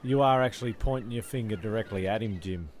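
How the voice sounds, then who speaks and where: A man's voice: on the low side, quick pace, a little mellow, slight background noise, lightly acted. A man with an Australian accent playing an easygoing, sensible, mildly bossy castaway in an improvised comedy audio drama, casual and coaxing.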